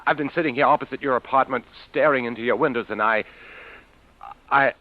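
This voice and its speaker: An actor playing an anxious, obsessive neighbor who hesitates and stammers pleadingly in an old-time radio drama. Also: male voice, medium pitch, brisk, no echo, natural voice. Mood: desperate pleading. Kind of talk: golden-age radio drama acting. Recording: muffled old radio recording.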